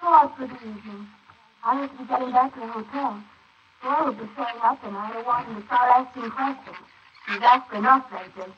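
An actress playing a hard, scheming, impatient young woman in a 1940s radio play, brisk, scornful and coaxing. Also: fairly high pitch, lightly acted. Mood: businesslike. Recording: muffled, hissy 1940s wire recording.

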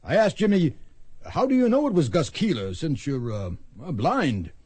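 A man's voice: a little high, wildly swooping pitch, normal speed, darkish tone, breathy edge, no room sound, exaggerated voice. An actor playing a folksy, matter-of-fact town marshal in a radio western, rambling as he talks. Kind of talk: radio western drama acting.

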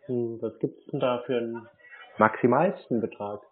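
A man chatting casually on a call, deadpan and laconic, sounding naive. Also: mid-range voice, moderate pace, slightly rough. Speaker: prank scam-baiter playing a customer. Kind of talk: casual phone conversation. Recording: muffled narrow phone-like sound.

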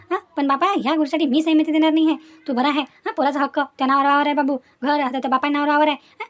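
Cartoon casting: woman as protective old grandmother, high voice, brisk folksy admonishing. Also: close to an everyday voice. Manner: admonishing, folksy, tags sentences with questions. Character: protective old grandmother, reasoning but firm. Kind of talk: Marathi family cartoon dialogue.

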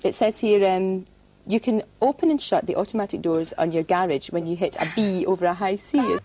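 A woman's voice: medium pitch, fairly quick, noisy background. A woman interviewer asking an amused question while reading from a newspaper.

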